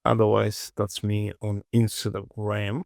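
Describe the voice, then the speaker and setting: A man hosting a casual software tutorial, thinking aloud and muttering repetitively to himself as he works. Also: fairly low pitch, completely flat delivery, slow, vocal fry, dry recording, studio quality. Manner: muttering, repetitive self-talk. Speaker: 3D modeling tutorial host.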